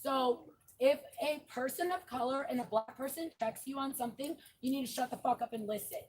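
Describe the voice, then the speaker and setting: Forceful, indignant woman at a night protest. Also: high-pitched, a little fast, a little hiss or hum, low-quality recording. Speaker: protest marcher. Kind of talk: impassioned street speech. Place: outdoors at a night street protest.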